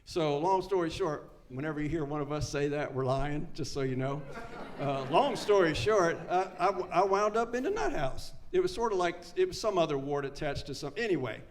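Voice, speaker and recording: A man's voice: a little high, animated, normal speed. Folksy earnest man in his seventies. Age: 70s. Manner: folksy, earnest, repeats key lines for emphasis. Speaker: recovery meeting speaker. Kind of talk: personal story told to a live meeting.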